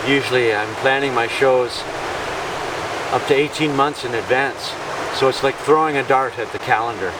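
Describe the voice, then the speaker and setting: A man narrating reflectively in short, measured phrases, as a touring show host speaking outdoors on a beach. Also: mid-range voice. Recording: loud surf and wind noise. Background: waves and surf.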